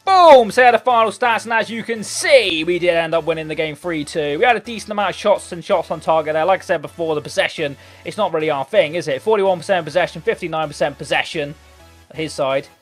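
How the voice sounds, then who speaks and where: A hoarse young man calls his football video game match, muttering low through quiet spells and bursting into shouts at every chance. Light background music runs under his voice. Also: fairly quick. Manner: excitable, swings from muttering to shouting. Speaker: gaming commentator. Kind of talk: live game commentary. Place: hot bedroom.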